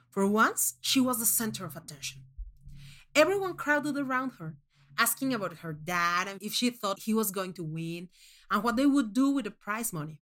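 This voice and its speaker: A woman reads a first-person literary short story as its narrator. Her mid-pitched voice moves widely up and down, giving the scripted prose an expressive, measured storytelling feel.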